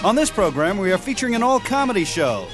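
A man's voice: high-pitched, very animated, fast talker, over music. An American man hosting a scripted retrospective program about old-time radio, introducing its segments.

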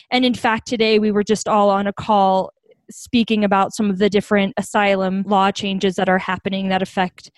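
A woman's voice: medium pitch, dry recording. A young adult woman, a guest, telling stories in a casual interview, laughing often as she talks. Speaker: founder of a hospitality home, guest.

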